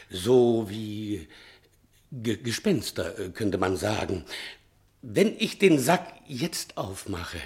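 A man's voice: fairly low pitch, a little mellow. An actor playing a frightened, shifty manservant in a German radio play, pleading and hesitant, stumbling over his excuses. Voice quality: stammering, hesitant. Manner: pleading, servile, theatrical. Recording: vintage 1960 radio studio recording.

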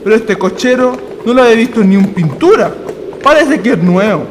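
A very high-voiced, folksy Chilean countryman played by a male actor chats in rustic slang. It is radio drama, with a long reverb and faint noise behind. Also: expressive, a little fast. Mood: chatty. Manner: colloquial, rustic. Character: chatty, folksy rural farm labourer, male.

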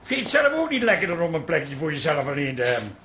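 A man's voice: on the high side, big pitch swings, quick pace, pushed and bright, a little hiss or hum. An actor playing a placating, slightly testy middle-aged family man in a radio drama. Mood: coaxing.

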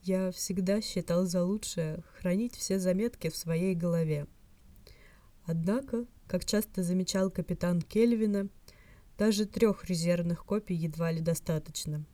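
A woman voicing a dignified, sly old male scholar's hologram in a fan fiction reading, formal, measured and soft. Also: fairly low pitch, dry recording, close to an everyday voice. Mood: dryly sly.

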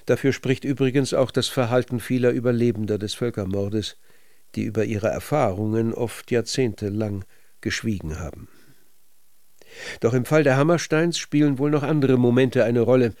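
Measured male narrator, dry studio sound. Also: medium pitch, a little mellow, no room sound. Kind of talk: scripted literary documentary narration.

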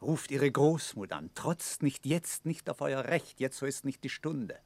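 An actor playing an earnest knight in a classical radio drama, counselling urgently with emphatic stage delivery. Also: male voice, medium pitch, animated, a little slow, no room sound. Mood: cautioning.

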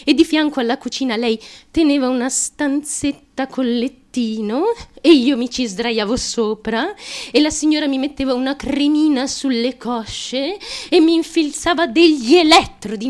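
Fragile young striver, very high voice, childlike and insistent, theatrical radio monologue. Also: female voice. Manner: childlike, insistent, halting, repetitive, with nervous giggles. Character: small, driven, fragile young woman confessing, fiercely chasing fame.

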